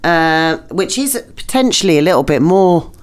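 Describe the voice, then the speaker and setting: A woman's voice: lowish voice, lively intonation, moderate pace. Wry woman chatting as podcast guest. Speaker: company stage manager. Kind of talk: casual chat.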